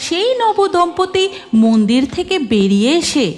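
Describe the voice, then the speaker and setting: A woman reading scripted cartoon story narration in an expressive, dramatic way. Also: high-pitched, measured pace, background noise.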